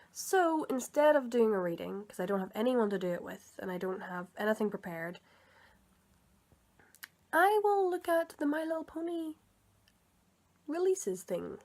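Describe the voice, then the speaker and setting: Woman rambling to her viewers in a fairly high voice, a bit sick, keeps fixing her own words. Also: darkish tone. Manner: chatty, rambling, self-correcting. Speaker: video creator and comic dub reader. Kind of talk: casual commentary vlog.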